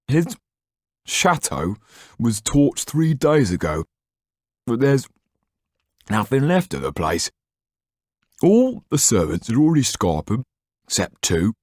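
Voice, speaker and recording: A man putting on a greedy, sweaty young snoop in a storybook reading, a bit higher than his own voice. He talks gruffly and knowingly, sliding all around his pitch. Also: darkish tone, no echo, studio quality.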